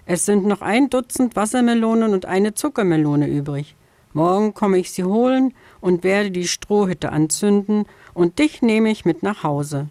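A woman's voice: medium pitch, moderate pace, warm tone, no echo, lightly acted. A woman voicing a kindly old grandfather farmer.

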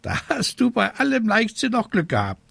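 A croaky storybook raven, fussing and scolding in a high, squawked voice. He nags indignantly, with big swoops of pitch and a dry, close sound. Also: huge pitch swings, normal speed, a bit bright, no room sound, full character voice. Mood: admonishing, sceptical. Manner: indignant, nagging.

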